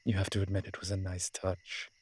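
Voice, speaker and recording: A man playing a wry, flirtatious immortal incubus demon in a roleplay audio drama, with a husky voice and a dry, teasing, conversational manner. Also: low voice, monotone, a little fast. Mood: resigned.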